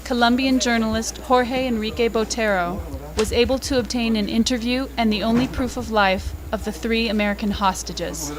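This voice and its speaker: A woman reading scripted documentary narration in an even, factual way. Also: on the high side, normal speed, low-quality recording.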